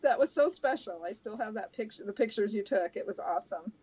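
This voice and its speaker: An older woman who grew up in Brazil, a guest being interviewed over a video call, speaking softly and haltingly with many pauses. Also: medium pitch, animated, breathy edge, muffled recording. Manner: soft-spoken, halting with many pauses and fillers. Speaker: guest, host's mother. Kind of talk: casual remote interview.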